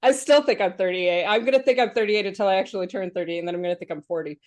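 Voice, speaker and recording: A woman in her thirties hosts a relaxed podcast conversation, talking at a middle pitch. She rambles a bit, stops and restarts her sentences, and laughs easily. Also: racing. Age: 30s. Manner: chatty, laughs often, many restarts and fillers. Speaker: podcast host. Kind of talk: casual podcast chat.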